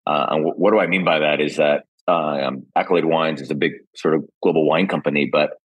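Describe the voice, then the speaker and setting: Chilean-born guy in his 40s, real low voice, lots of ums and uhs, laid back podcast guest. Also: fairly quick, slightly rough. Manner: relaxed, with frequent fillers and restarts. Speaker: podcast guest, wine executive. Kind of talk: casual interview chat.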